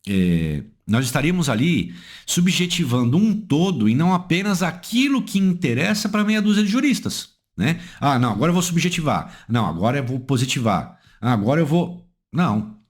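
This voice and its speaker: Brazilian male legal analyst, animated intonation, rhetorical questions, emphatic lecturing delivery. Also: slightly rough. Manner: asks rhetorical questions and answers them; emphatic, swings pitch up and down for stress.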